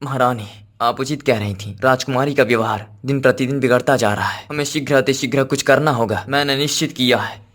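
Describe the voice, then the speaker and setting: A man's voice: medium pitch, brisk, fairly bright tone, natural voice. A man voicing a protective, authoritative king and father who speaks in a formal, regal manner in a cartoon story. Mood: resolute, stern. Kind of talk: Hindi cartoon moral story dialogue.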